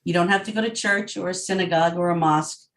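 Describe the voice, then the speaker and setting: A woman's voice: fairly low pitch, steady tone. Friendly New woman co-host. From New York, USA. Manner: friendly, conversational, slightly halting. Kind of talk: hosting an online discussion. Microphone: video call.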